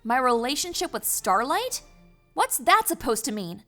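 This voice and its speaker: A young female pony princess from a cartoon, voiced by a woman, earnest and easily exasperated. The voice is high and forceful, defensive and emphatic, over light music. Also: sing-song, moderate pace. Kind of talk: fan fiction audio drama acting.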